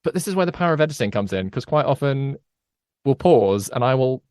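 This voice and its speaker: A man's voice: medium pitch, animated, a little fast, darkish tone, smooth, no room sound, clean studio sound. A man chatting casually as a podcast co-host and game master.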